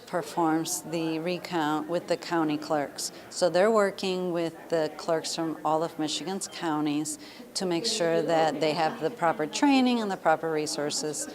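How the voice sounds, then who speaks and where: Lower-voiced American woman over busy chatter. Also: a little slow. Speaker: government communications director, interviewee. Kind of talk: explanatory news interview soundbite. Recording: busy room chatter behind.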